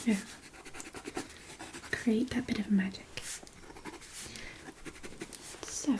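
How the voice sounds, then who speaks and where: Lady as a kindly chatty fairy for asmr, whispery and slow, kinda high voice, very caring. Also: background noise. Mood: attentive, kindly. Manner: soft whispering, hesitant, nurturing. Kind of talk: whispered fantasy ASMR roleplay.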